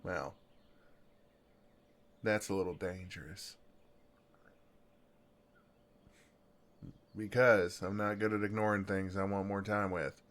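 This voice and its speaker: A man with a Southern US accent playing a gentle, flirtatious farmhand in an intimate ASMR roleplay, with an easygoing, folksy, soothing drawl. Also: low voice, steady tone, unhurried. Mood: flirtatious, soft.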